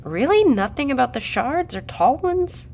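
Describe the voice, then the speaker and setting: A woman's voice: high voice, sing-song, normal speed, gravelly, darkish tone, slight background noise, full character voice. A woman playing a direct, questioning doctor and project leader in an audio drama. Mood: composed, assertive.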